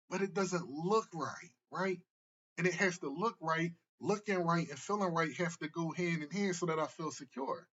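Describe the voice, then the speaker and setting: A man in his early forties speaks in a fairly high, husky voice, giving a loose motivational talk. He circles back on his points and keeps checking in with the listener. The recording sounds dull and lo-fi. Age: 40s. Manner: casual, repetitive, tag questions. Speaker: therapist. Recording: muffled lo-fi recording.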